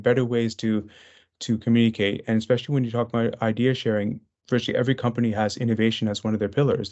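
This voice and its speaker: A man's voice: mid-range voice, a little mellow, lo-fi sound. A man presenting a business webinar over a video call. He talks conversationally through his slides, with frequent fillers and restarts.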